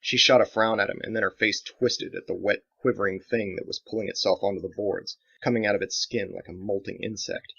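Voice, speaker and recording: A man's voice: on the low side, fairly even intonation, brisk, hardly any pauses, bright, forceful tone, slightly rough. A man reading his own dramatic action novel as scripted audiobook narration, in a slightly dull recording.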